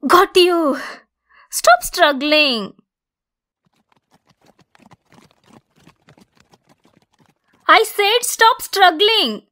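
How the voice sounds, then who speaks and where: A woman playing a lonely, obsessive, domineering female centaur in an ASMR roleplay, speaking deliberately and insistently. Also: very high voice, pushed and bright, pitched above the performer's own voice, heavily acted. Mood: agitated, commanding. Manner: slow, deliberate, insistent, clingy.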